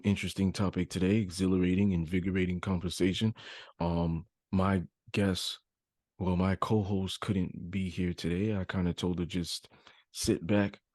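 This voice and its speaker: A man's voice: sits low, a little mellow, no echo, clean studio sound. A man hosting a podcast, interviewing in an informal, conversational way. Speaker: podcast host.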